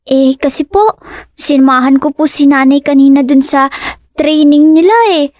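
A woman's voice: high-pitched, flat delivery, normal speed, darkish tone, no echo, studio quality, natural voice. A woman playing a polite young daughter. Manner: polite, respectful. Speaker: voice actor. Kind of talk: radio drama acting.

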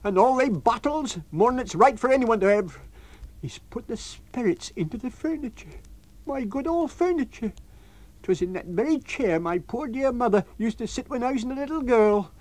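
A man's voice: very high voice, animated, normal speed, darkish tone, pitched above the performer's own voice. A man reading a story and voicing a middle-aged country landlady who scolds indignantly in rustic dialect. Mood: frightened.

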